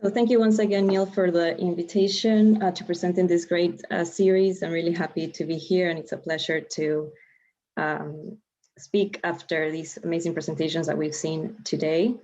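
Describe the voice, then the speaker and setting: A young woman from Ecuador giving an online lecture, measured and explanatory, with frequent ums and uhs. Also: on the low side, steady tone, warm tone. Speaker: guest lecturer. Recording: dull lo-fi video-call audio.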